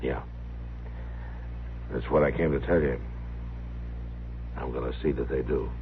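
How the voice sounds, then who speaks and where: An actor playing a calm, firm, laconic frontier marshal in old western radio drama style. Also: male voice, very deep voice, unhurried, gravelly, exaggerated voice. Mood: calm, reassuring. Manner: laconic, plain-spoken. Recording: noisy lo-fi old radio recording.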